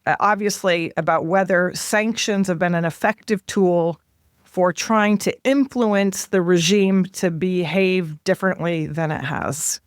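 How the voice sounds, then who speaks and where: A woman's voice: fairly low pitch, dry recording, studio quality. A woman hosting a policy podcast, reading a scripted intro and asking probing interview questions in a poised manner.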